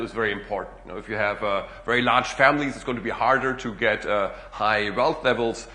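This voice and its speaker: A middle-aged man with a German accent gives a talk to an audience, at a mid-range pitch. He speaks in a loose, conversational way, with plenty of "uh" and "you know" between thoughts. The sound carries an echo from the room. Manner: relaxed, frequent "uh" and "you know" fillers. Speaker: guest speaker, economics professor. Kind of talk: public lecture.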